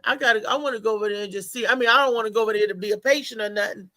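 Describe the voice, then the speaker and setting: Casting: woman with a high, sharp bright voice, colloquial and plainspoken in loose conversation. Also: rapid-fire. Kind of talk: casual chat.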